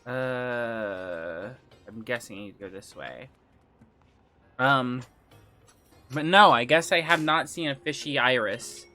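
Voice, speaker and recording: A man's voice: medium pitch, takes it slowly, long pauses, pushed and bright, faint music behind. A man chatting casually with viewers on a gaming livestream, rambling, trailing off and restarting, his throat a little phlegmy. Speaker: game streamer.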